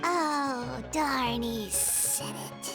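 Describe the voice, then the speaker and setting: A woman voicing a small, excitable, childlike flying fairy companion in a video game, squeaky and full of exclamations. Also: drawn-out words, heavily acted. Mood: exasperated. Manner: exclamatory, speaks of self in third person. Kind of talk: video game character acting. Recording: music behind the voice.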